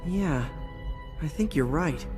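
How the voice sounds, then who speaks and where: A man's voice: on the high side, expressive, slow, a little mellow, soft background music. An actor playing a confident, wisecracking teenage boy hero in a video game, with a casual, sarcastic delivery. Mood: serious. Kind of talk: video game cutscene acting.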